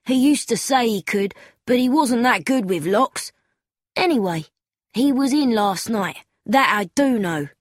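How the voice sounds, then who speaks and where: A woman's voice: fairly high pitch, lively intonation, measured pace, no echo, clean studio sound. A woman reading aloud, voicing a nervous young boy who insists and protests eagerly. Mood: protesting.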